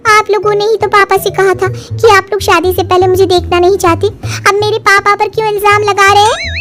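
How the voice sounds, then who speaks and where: A woman voicing a coy, quick-witted, unapologetic young bride in a storybook tale. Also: very high voice, level tone, a bit bright, pitched above the performer's own voice. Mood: indignant. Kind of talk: animated storybook dialogue. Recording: music under the dialogue.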